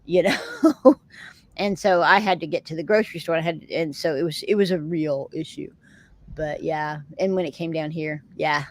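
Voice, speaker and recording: A woman's voice: low voice, lively intonation, normal speed. A middle-aged woman with a Texan accent, hosting a casual chat with viewers in a rambling, chatty way and laughing often. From Texas. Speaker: host of a live chat show.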